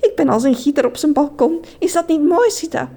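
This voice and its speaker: A woman's voice: expressive, fairly quick, warm tone. A woman voicing a lovestruck female thief who sighs and squeals with delight. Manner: breathless, sighing, squealing high on joyful lines. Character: swooning lovestruck woman thief, gushing and giddy.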